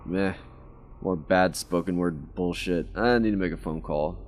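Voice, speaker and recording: A jaded homicide detective in a horror radio drama, making crude, sarcastic remarks. His voice sits low, with faint music in the background. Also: unhurried. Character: cynical male detective named Worst. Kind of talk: audio drama acting.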